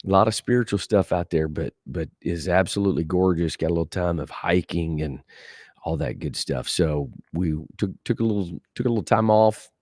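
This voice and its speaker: A man's voice: sits low, darkish tone, dry recording, studio quality. A man hosting a podcast, upbeat and friendly in casual banter. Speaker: podcast host.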